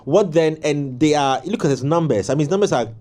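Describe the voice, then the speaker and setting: A man's voice: a little high, lively intonation, fairly quick, mellow. A man on a studio music panel, reflecting on earlier predictions and hedging with frequent "uh" and "um". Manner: reflective, hesitant with many "uh" and "um" fillers. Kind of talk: casual studio panel discussion.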